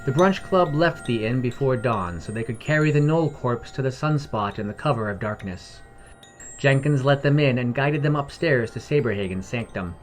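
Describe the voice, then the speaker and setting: A man's voice: mid-range voice, animated, moderate pace, soft background music. A man reading scripted fantasy fiction as the narrator.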